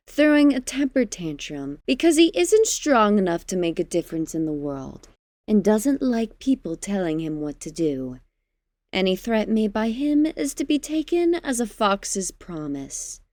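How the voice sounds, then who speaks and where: A regal queen played by a woman in an audio drama, wry and a little condescending. She speaks slowly and deliberately, with lively swings in pitch, on a dry, close recording. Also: dry recording. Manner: measured, haughty, teasing.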